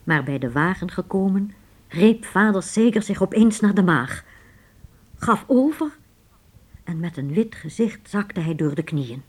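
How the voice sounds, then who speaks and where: A woman's voice: lowish voice, animated, a little slow, a little mellow, slightly rough. A woman narrating a radio play as scripted storytelling.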